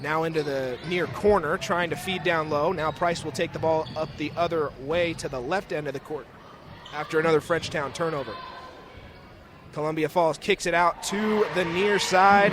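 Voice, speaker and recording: Higher-voiced young man calling basketball play-by-play. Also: normal speed. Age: young adult. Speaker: play-by-play sports announcer. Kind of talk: live basketball play-by-play commentary. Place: indoor school gymnasium during a game. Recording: noisy gym ambience, bouncing basketballs. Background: game noise, basketball bounces.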